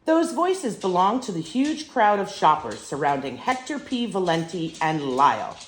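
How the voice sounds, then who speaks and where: An older woman reads a picture book to children with animated, emphatic expression. Her voice sits a little low and is heard in a roomy, echoing space. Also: moderate pace, slight background noise. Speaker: grandmother reading stories. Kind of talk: storybook read-aloud for children.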